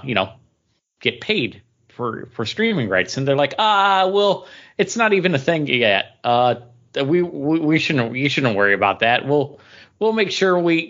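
A man with a mid-pitched voice talks like a podcast co-host on slightly muffled, lo-fi audio. His delivery is conversational and halting, and he slips into quoting imagined exchanges as he explains things. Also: bright, forceful tone. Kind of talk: casual news chat.

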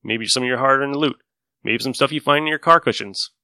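Bright-voiced casual podcast host man. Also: medium pitch, fairly quick, dry recording. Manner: friendly, informal.

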